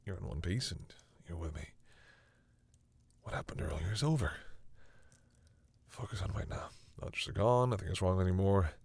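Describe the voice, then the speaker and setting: Man acting a deep-voiced, bashful vampire boyfriend. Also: vocal fry, darkish tone, breathy edge, exaggerated voice. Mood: tender, reassuring. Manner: halting, stammering, bashful. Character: flustered, protective male vampire smitten with a human. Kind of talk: intimate audio roleplay spoken to the listener.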